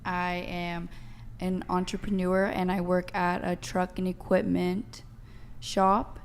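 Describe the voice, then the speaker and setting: A woman's voice: on the low side, slow, clear, resonant tone. A nineteen-year-old woman from Northern California, a podcast guest giving short, plain answers. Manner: flat, brief answers.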